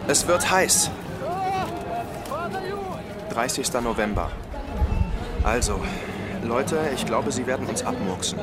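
Man, urgent rallying voice-over, mid pitch, loud music underneath. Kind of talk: reading posts as a voice-over.

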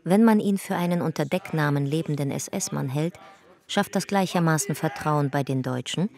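A woman narrating a documentary radio drama in a measured, matter-of-fact way. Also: low voice, mellow. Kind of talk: sober documentary narration, reading reports.

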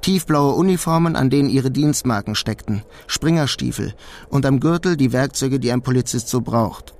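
An actor playing a wry thief who narrates his own heist in a German radio crime drama, measured and deadpan. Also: medium pitch, natural voice.

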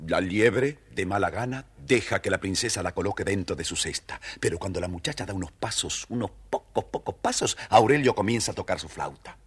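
Un hombre que narra un cuento infantil de forma teatral y juguetona, con voz ronca y velada. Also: male voice, sits low, expressive, a little fast, hardly any pauses. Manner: theatrical, playful. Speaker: storyteller narrator. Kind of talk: expressive scripted children's story narration.

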